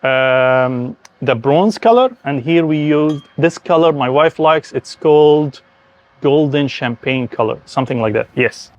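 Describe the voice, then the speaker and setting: A man from Syria with a Syrian Arabic accent speaks enthusiastically and conversationally, explaining things as host of an informal garden and villa tour. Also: a little high.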